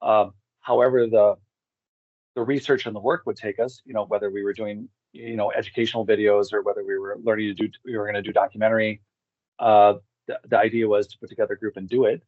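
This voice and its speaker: A man's voice: fairly low pitch, little pitch movement, quick pace, dry recording, phone-quality sound. A man talking casually as an interview guest, rambling and circling back to restate his points. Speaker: guest, expedition group co-founder.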